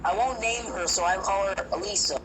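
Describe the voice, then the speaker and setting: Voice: mid-range voice, fairly quick. Hesitant horror narrator, noisy recording. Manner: hesitant, with restarts.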